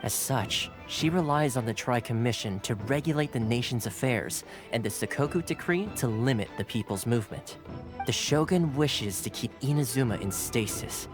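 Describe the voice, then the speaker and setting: An actor playing a courteous, playful yet earnest young retainer in a video game, speaking in polite, formal phrases. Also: male voice, mid-range voice, lively intonation, moderate pace, close to an everyday voice. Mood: solemn, explanatory. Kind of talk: scripted game cutscene dialogue. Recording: music behind the dialogue. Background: game music.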